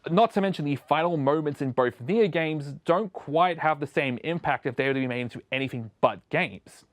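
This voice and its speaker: A man's voice: fairly high pitch, moderate pace, no echo. A man presenting a scripted opinion video essay as a show host, recorded as a close voice-over.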